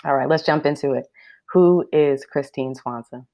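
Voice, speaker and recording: A woman's voice: very deep voice, moderate pace, mellow, dry recording, clean studio sound. A woman hosting a podcast, interviewing a guest over a video call in an upbeat, welcoming way. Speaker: podcast host. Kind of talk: casual interview.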